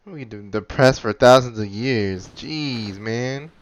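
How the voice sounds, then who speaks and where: A man's voice: mid-range voice, slow, dry recording, muffled recording. A man giving laid-back, rambling casual commentary over a video game. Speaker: gaming commentator.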